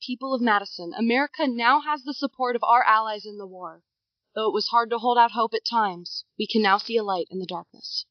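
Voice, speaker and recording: A woman's voice: on the high side, fairly quick, no echo, natural voice. A woman playing an earnest leader giving a formal, imploring wartime public address. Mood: cautiously hopeful. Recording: dull, dry, band-limited broadcast sound.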